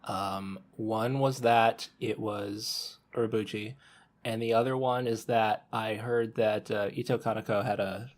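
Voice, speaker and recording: A man with a fairly low voice chats on a podcast panel. He tells casual personal stories, pausing often with ums as he goes. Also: fairly even intonation.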